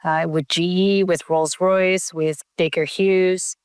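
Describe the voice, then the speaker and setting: Canadian-raised woman ceo on a call, low-ish voice, lots of uhs and restarts. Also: fairly even intonation, slow pace, a little mellow, smooth, no room sound, clean studio sound. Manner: thoughtful, many fillers and restarts. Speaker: company CEO, guest. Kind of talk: conversational interview answers.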